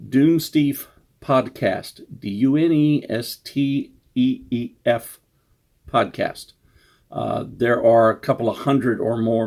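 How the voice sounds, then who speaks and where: A man's voice: fairly low pitch, gravelly, mellow. A man giving a casual, unscripted introduction as an author, rambling and self-deprecating. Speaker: author introducing his story.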